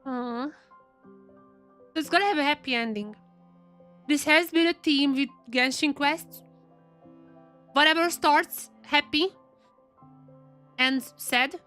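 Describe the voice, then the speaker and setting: High-voiced woman streaming a game. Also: slow, long pauses, bright, forceful tone, faint music behind. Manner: chatty, repeats phrases for emphasis. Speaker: live streamer reacting to a game. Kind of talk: casual live game reaction commentary.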